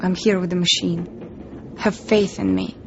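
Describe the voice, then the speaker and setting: A woman's voice: lowish voice, sing-song, unhurried. An actress playing a young Russian woman spy in a radio drama, tender but defiant and secretive, with a Russian accent. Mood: defensive. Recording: dull lo-fi recording, background noise.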